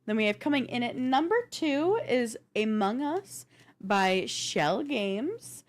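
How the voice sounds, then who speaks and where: A woman's voice: a little high, expressive, takes it slowly. A woman co-hosting a gaming news show, counting down a list in a casual, chatty, informal way.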